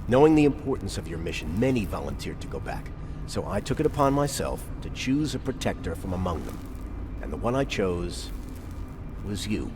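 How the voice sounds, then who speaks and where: An actor playing a grave, resolute military commander in a video game, speaking in a deliberate, earnest, authoritative way. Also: male voice, sits low, big pitch swings, background noise. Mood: solemn, earnest. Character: grave, resolute male resistance commander briefing soldiers. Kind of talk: dramatic cutscene dialogue.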